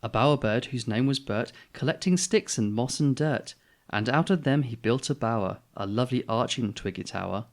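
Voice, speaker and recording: A man reads a children's story aloud in an expressive style. His voice sits at a middling pitch, with a noticeable creak running through it. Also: moderate pace. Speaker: storybook reader, channel host.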